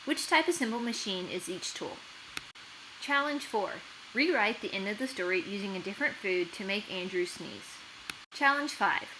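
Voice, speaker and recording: A woman narrating for children as an expressive read-aloud storyteller and host. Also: mid-range voice, moderate pace, background noise. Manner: expressive, clearly addressed to children.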